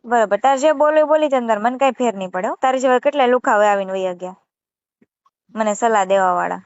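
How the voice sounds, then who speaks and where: High-pitched, scolding Saurashtra Gujarati woman. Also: fast talker, no echo. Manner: scolding, assertive, repetitive. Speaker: channel creator taking a call. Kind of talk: argumentative phone conversation. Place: phone call. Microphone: phone. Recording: muffled phone call recording.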